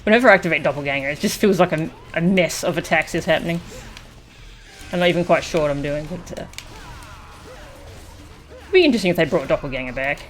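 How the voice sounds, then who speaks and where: A woman with a notably low voice chats casually as she streams a game. Music plays faintly but clearly behind her commentary. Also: expressive, fast, pauses often.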